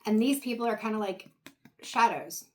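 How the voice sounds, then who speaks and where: Woman, tarot reader, voice going hoarse, mid-pitched, reflective and meandering, talks to the viewer. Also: moderate pace, darkish tone. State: losing her voice, clearing her throat. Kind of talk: casual intuitive tarot reading.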